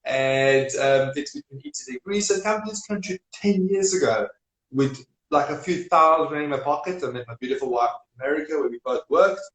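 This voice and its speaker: A man with a Serbian accent, speaking as an energetic guest in a casual live-stream interview, with non-native grammar and repetition. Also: fairly high pitch, a little fast. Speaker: business guest. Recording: echoey room.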